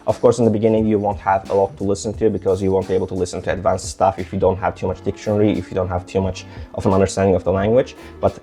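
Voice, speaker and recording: A young man from Azerbaijan speaks English with a mostly American, slightly British accent. His voice sits fairly low and sounds warm and dark. He rambles through his advice with frequent "like" fillers, and soft music plays faintly underneath. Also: little pitch movement, rapid-fire, few pauses. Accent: mostly American with some British. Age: young adult. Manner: rambling, many "like" fillers and restarts. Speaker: language-learning vlogger. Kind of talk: casual advice talk to camera.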